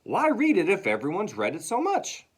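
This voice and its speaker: A man's voice: high voice, huge pitch swings, moderate pace, breathy edge, voice pushed up, exaggerated voice. A man voicing a blunt schoolboy in a children's storybook, complaining and emphatic. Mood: grumbling. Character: blunt, grumbling schoolboy classmate.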